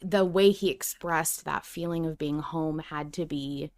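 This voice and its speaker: A woman's voice: sits low, measured pace. A woman co-hosting a casual fan podcast, wry and teasing, with exclamatory reactions and casual swearing. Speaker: podcast co-host.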